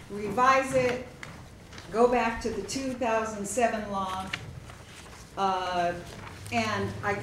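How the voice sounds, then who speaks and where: A woman speaking as a city councilor, giving an informal presentation at a public meeting in halting, measured phrases. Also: mid-range voice. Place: indoor council meeting room. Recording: echoey, noisy meeting room, distant mic.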